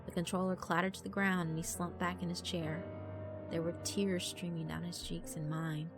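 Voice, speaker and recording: A woman giving a grave, deliberate, dramatic reading of a horror story as narrator. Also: on the low side, little pitch movement. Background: background music.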